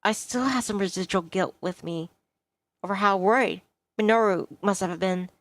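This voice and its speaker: A woman's voice: medium pitch, normal speed, no echo. A woman reading a visual novel aloud expressively, as a streamer narrating a story. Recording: music behind the voice. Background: soft guitar music.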